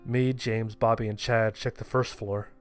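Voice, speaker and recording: Lowish-voiced male horror story narrator. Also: little pitch movement, unhurried, clean tone, soft background music. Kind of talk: scripted first-person horror story narration.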